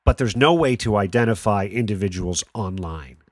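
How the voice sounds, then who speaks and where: A man acting as a skeptical late-night talk radio host with a fairly low voice, recorded close and dry. He challenges his callers with wry, sardonic banter in a radio play. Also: expressive, moderate pace, no echo. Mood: doubtful, exasperated.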